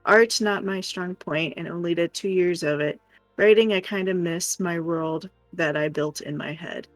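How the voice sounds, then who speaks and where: A woman's voice: lowish voice, normal speed, dry recording. A woman co-hosting a livestream, reading comments and chatting in an informal, playful way. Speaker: livestream co-host reading chat. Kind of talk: casual chat, reading comments aloud. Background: music.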